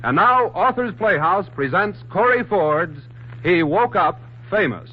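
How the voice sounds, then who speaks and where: A man with a fairly high, very animated voice narrates as an old-time 1940s radio announcer. The recording is muffled and vintage, with music clearly behind him. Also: a little slow.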